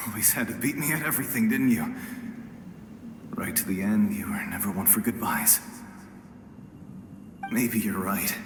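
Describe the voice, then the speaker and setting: An actor playing a dying male warrior putting on a brave face in a game, weak, breathy, weary and tender. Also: mid-range voice, a bit bright. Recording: echoing reverberant space with noisy ambience.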